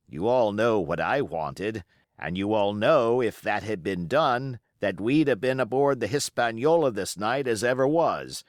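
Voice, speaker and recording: A man's voice: fairly low pitch, no room sound, clean studio sound. A man voicing a cunning, scornful pirate ringleader in an audiobook, taunting and emphatic with rhetorical questions. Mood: defiant. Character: Long John Silver, scornful cunning male pirate ringleader.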